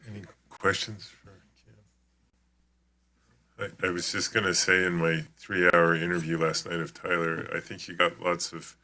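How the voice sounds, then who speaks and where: A man chairing a meeting, with a very deep voice that stays flat and even. His tone is tense and forceful even as he talks in a halting, appreciative way. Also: poor audio. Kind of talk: meeting comment.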